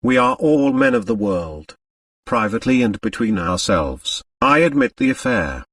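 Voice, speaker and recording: Haughty, suave aristocratic count played by a male reader, French, formal, very expressive, dry sound. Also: fairly low pitch, moderate pace, no echo, recorded from a distance. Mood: frank, smooth. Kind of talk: audiobook character dialogue.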